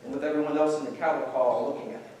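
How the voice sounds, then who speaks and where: A man narrates a script with stage directions. His voice sits a little high for a man. He is heard from some distance in a strongly reverberant hall. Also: steady tone, rapid-fire, a little mellow. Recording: echoey hall, distant mic.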